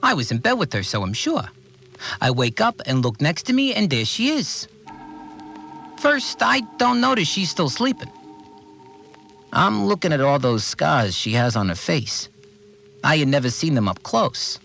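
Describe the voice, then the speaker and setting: An actor playing an earnest, aggrieved cleaner who keeps an audio diary in a naive, rambling, complaining way. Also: male voice, fairly high pitch, very animated, measured pace, gravelly, pauses often, sharp tone, soft background music, heavily acted. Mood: exasperated, puzzled. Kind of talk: audio drama monologue, conversational recorded log.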